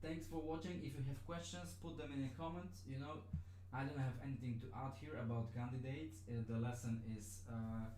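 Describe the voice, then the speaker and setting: A man whose first language is Polish talks through a live-streamed lesson, stopping and restarting often with plenty of fillers. His voice is mellow and mid-pitched. The room is echoey, with faint noise behind him. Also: fairly even intonation, fairly quick, few pauses. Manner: hesitant, many fillers and restarts. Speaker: online business trainer.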